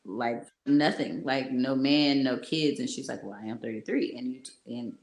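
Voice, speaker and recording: A woman's voice: a bass voice, normal speed, darkish tone. A woman co-hosting a casual chat about a TV show, playful and slangy, laughing often. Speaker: podcast co-host.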